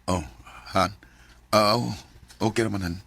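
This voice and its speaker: A man's voice: on the low side, steady tone, a little slow, creaky, background noise. A man with a Cebuano accent acting out his own story in a radio drama, speaking hesitantly and apologetically.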